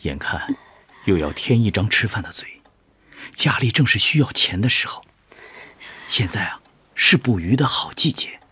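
An actor playing a weary, dutiful young fisherman husband in a radio drama, husky and sighing as he reassures. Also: fairly low pitch, very animated, moderate pace, long pauses, bright, forceful tone, whispered, heavily acted. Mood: earnest, burdened. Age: 20s. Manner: soothing, sighing, earnest.

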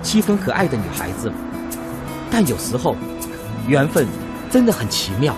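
A man's voice: medium pitch, big pitch swings, moderate pace, over loud music, close to an everyday voice. A man acting a polite, good-natured noodle-shop owner in his thirties, with a Taiwanese Mandarin accent and a hesitant manner, in a radio drama. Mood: reflective. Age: 30s. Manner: hesitant, polite, soft particles.

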